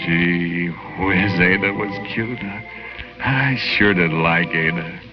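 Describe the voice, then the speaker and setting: A man's voice: low voice, slow. An actor playing the naive, friendly ghost of a murdered small-time guy, telling his story in folksy, ungrammatical 1940s radio drama style. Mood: wistful, reminiscing. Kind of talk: 1940s radio drama acting, first-person narration. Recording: dull old 1940s radio recording with music.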